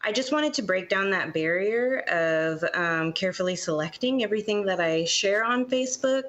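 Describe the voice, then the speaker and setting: A woman's voice: medium pitch, animated, moderate pace, fairly bright tone, highs cut off. A young adult woman answering candidly as an interviewee.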